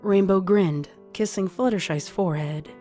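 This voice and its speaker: A woman's voice: mid-range voice, normal speed, dark tone. A woman reading fan fiction aloud as scripted storytelling narration. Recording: music under the voice.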